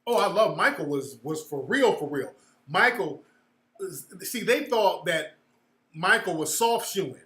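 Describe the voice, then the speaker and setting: A man's voice: sits high, expressive, a little slow, pushed and bright, echoey room. A man telling stories in casual commentary, emphatic and full of profanity.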